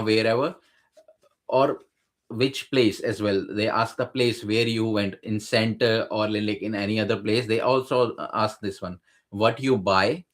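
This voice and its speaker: Man, lower register, hesitant conversational explainer. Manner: hesitant, many fillers and restarts. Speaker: guest sharing exam experience.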